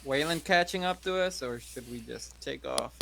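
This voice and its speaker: A man's voice: mid-range voice, normal speed, gravelly, bright, forceful tone, slight background noise. A man chatting casually as a player in a tabletop role-playing game. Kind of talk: casual chat.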